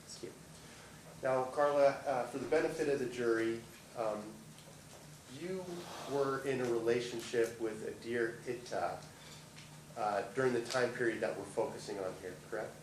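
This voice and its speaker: A man working as a trial attorney, questioning a witness politely and haltingly, with many fillers. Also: medium pitch. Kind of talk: direct examination of a witness. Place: courtroom. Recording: distant, roomy courtroom audio with noise.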